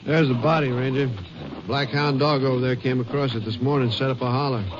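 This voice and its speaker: Casting: man playing a folksy lawman, medium pitch, matter-of-fact; dull vintage radio audio. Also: fairly even intonation, a little fast, close to an everyday voice. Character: folksy matter-of-fact rural Texas sheriff. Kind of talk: old-time radio drama acting. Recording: muffled, noisy vintage radio recording.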